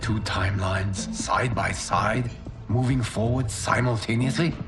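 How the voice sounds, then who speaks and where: An actor playing a noble, godlike guardian lord in a video game, speaking in a measured, formal, solemn way. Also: male voice, lowish voice, over music, lightly acted. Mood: grave, questioning. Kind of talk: dramatic video game cutscene acting.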